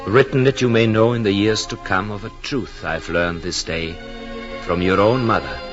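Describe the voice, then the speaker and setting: An actor playing an earnest, brooding European husband and expectant father who narrates his journal in solemn, literary, measured 1940s radio drama style. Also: fairly low pitch, highs cut off, lightly acted. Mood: solemn, uplifted. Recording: old 1940s radio, music behind.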